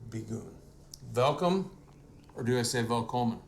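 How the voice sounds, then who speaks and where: A man's voice: mid-range voice, lively intonation, moderate pace, vocal fry, a little hiss or hum. A man, a small-town mayor chatting casually and joking at his own expense. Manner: joking, self-deprecating. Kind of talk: casual chat.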